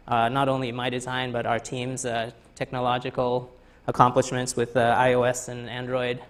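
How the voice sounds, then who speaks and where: A man from Thailand in his thirties giving a conference talk in a lecture hall, halting with many fillers and restarts. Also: mid-range voice, fairly bright tone. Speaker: guest speaker.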